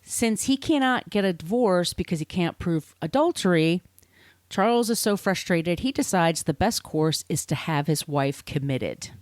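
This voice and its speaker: Female podcast storyteller, slightly low register, conversational and explanatory with asides, close dry sound. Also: animated, normal speed, no echo. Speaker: podcast host. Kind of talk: conversational storytelling of literary history.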